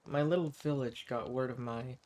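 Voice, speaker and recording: A male performer plays an ancient vampire living as a hermit, in a roleplay audio drama. His voice sits at a middle pitch for a man. He speaks straight to the listener with sweet endearments and musing hesitations, eccentric and theatrical, with a quiet menace underneath. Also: steady tone, fairly quick, natural voice. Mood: wistful storytelling.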